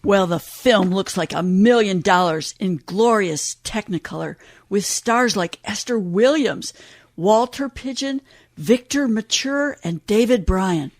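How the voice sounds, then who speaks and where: Vintage Hollywood radio commentator, female actor: polished, gushing promo delivery, medium pitch, close dry sound. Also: measured pace, no room sound.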